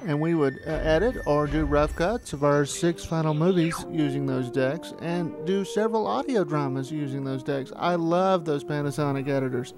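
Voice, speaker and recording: A man's voice: a little high, moderate pace, hardly any pauses, music in the background. A middle-aged American man narrating a look back at his amateur filmmaking. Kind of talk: retrospective narration to camera.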